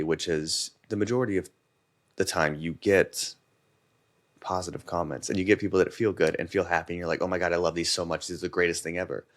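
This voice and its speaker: Guest, male, very low voice, candid and easygoing chat. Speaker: podcast guest, content creator. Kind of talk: casual conversation.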